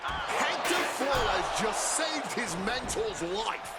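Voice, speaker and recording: A man playing a dramatic, excitable play-by-play commentator calling pro wrestling in a video game. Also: very high voice, takes it slowly, gravelly, a loud crowd, heavily acted. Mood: excited, urgent. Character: excitable male wrestling announcer, dramatic and shocked. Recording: loud arena noise behind the voice. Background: noisy wrestling arena.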